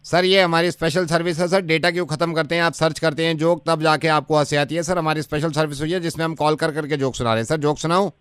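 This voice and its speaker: A man acting as an earnest male service caller in a radio prank. His voice is smooth, dry and a little high, and he is deferential, officious and persistent. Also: fairly even intonation, quick pace, hardly any pauses, a bit bright, no room sound, natural voice. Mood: cheerfully insistent. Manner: deferential, repeats "sir", persistent.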